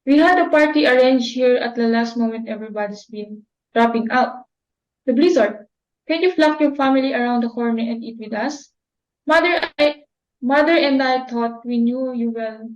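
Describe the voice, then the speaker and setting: A woman's voice: fairly high pitch, normal speed, natural voice. A young woman playing a sensible daughter. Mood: earnest. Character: sensible young daughter helping her mother. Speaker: student actor. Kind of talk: amateur dramatic reading. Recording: dull lo-fi recording.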